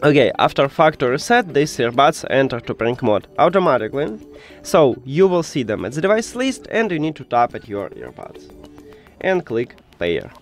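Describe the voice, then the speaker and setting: A male tutorial host walks through instructions step by step in non-native English phrasing. His mid-pitched voice moves up and down in a lively way, with some music behind it. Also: normal speed.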